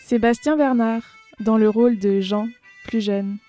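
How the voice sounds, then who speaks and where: A woman's voice: on the high side, fairly even intonation, unhurried. A woman reading scripted end credits as an announcer. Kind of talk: scripted narration. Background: soft music.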